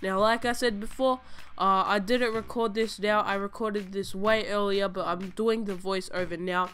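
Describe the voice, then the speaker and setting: A woman's voice: medium pitch, fairly even intonation, normal speed, fairly bright tone. A young woman hosting a gaming channel, stuffy from a cold, chattily walking viewers through a game tutorial step by step in a casual voice-over. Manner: chatty, repeating instructions step by step. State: sick with a cold, stuffy-nosed. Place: home voice-over over gameplay footage.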